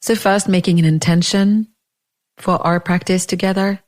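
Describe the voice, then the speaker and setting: A woman leading a guided meditation in a gentle, unhurried way, leaving long pauses between phrases. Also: fairly low pitch, steady tone.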